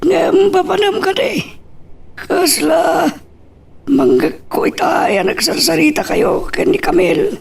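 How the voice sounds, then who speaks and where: A man playing a fond, slow-talking father in an audio drama, with an Ilocano accent. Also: very high voice, big pitch swings, creaky, distant mic, heavily acted. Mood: fond, curious. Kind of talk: amateur audio drama acting.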